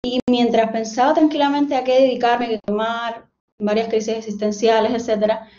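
A woman with a Cuban Spanish accent presents a seminar over a video call, her voice pitched a little high but mellow and soft. She speaks in a friendly, personal way as she tells her own story. The sound is dull and lo-fi. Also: little pitch movement, moderate pace. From Cuba. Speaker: guest lecturer, postdoctoral researcher. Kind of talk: online seminar talk. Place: home.

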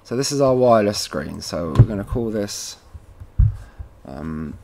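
A man presenting a casual, informal step-by-step software tutorial, talking to viewers like mates as he works at a computer. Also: fairly low pitch, expressive, measured pace, vocal fry, a little hiss or hum. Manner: informal, addresses viewers as guys.

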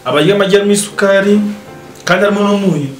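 Very fast-talking young man, high voice, echoey room. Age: young adult. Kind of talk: casual chat among friends. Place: indoors at a home dining table. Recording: echoey room, music, clinking cutlery and dishes.

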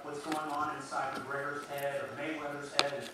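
A man's voice: a little high, completely flat delivery, moderate pace, far from the mic. A man on a TV sports talk show, giving an earnest, opinionated argument. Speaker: TV sports commentator. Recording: TV heard from across a noisy echoey room.

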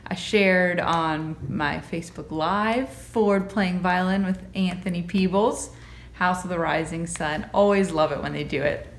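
A woman's voice: lowish voice, measured pace. A woman in her thirties chatting in a friendly, casual way to the camera in her home kitchen. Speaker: channel co-host. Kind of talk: casual vlog chat. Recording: roomy kitchen with faint hum.

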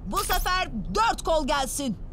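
A woman's voice: very high voice, animated, unhurried, heavy background noise. A woman voicing an impulsive, stubborn ten-year-old boy hero in a dubbed cartoon. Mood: confident. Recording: loud music behind.

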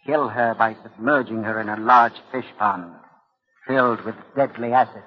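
An actor playing the storyteller of a murder mystery, in dramatic 1940s radio style. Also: male voice, on the low side, normal speed, heavy background noise, lightly acted. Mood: grave, suspenseful. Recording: muffled old radio recording.

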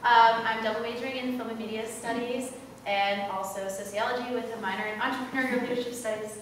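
A woman's voice: on the high side, fairly even intonation, quick pace, slight background noise. A young woman giving a chatty self-introduction full of ums. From local to the area. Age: young adult. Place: large echoey hall. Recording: echoey hall with long reverb, far off.

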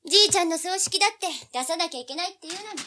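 An actress playing a feisty, sharp-tongued teenage girl in an audio drama, snappy and indignant. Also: extremely high pitch, lively intonation, racing, a little hiss or hum, full character voice. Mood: grudging.

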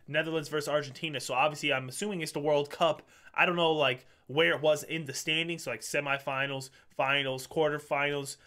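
A man's voice: fairly high pitch, fairly bright tone. A young man hosting a reaction video, talking in a laid-back, chatty way, nasal and stuffed up from a cold, on a headset mic in a small room at home. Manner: laid-back, chatty, addresses viewers as guys. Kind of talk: casual reaction commentary. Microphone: gaming headset mic.

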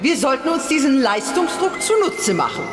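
An actor playing an imperious, scheming ruler of a dark kingdom in an audio drama. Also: sits high, very animated, moderate pace. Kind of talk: scripted German audio drama acting. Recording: echoey reverberant hall, faint music.

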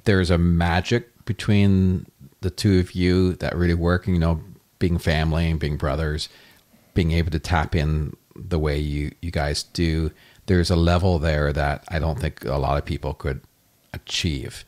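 A male podcast host with a deep, low voice chats casually with his guests. He talks in an upbeat, rambling way, full of fillers and praise. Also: flat delivery, measured pace, darkish tone. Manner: enthusiastic, complimentary, rambling. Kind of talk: casual interview chat.

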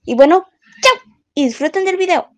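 A woman's voice: extremely high pitch, expressive, moderate pace. A woman chatting casually and playfully as she hosts and makes announcements for her channel. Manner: playful, giggly. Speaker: channel host. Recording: music behind the voice. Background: background music.

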